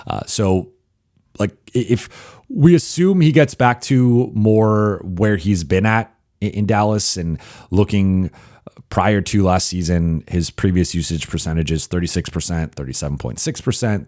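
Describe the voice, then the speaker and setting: Hoarse man, very low pitch, dry close sound; sports reporter working through a stats-heavy mailbag. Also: a little mellow, no echo. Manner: analytical, reels off statistics with frequent "uh" pauses. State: hoarse, partly lost voice. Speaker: basketball reporter and show host. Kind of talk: solo mailbag podcast commentary.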